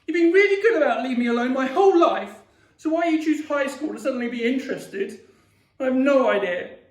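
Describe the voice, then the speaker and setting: A man's voice: extremely high pitch, wildly swooping pitch, fast, echoey room, distant mic, pitched above the performer's own voice, full character voice. A man voicing an irritable teenage older sister in an audiobook story, snappy, defensive and accusing. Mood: exasperated.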